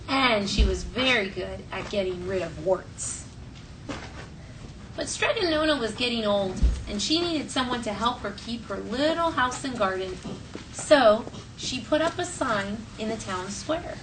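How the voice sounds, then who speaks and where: A woman teacher reading a storybook aloud to her class and prompting the children in clear, instructional phrases. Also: on the high side. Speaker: elementary school teacher. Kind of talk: classroom read-aloud and questioning. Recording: distant mic, faint classroom noise.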